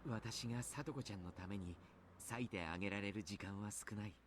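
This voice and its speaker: An actor playing a gentle, courteous adult mentor with formal, sincere phrasing in a Japanese visual novel. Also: male voice, fairly low pitch, racing, slight background noise, lightly acted. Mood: earnest, encouraging.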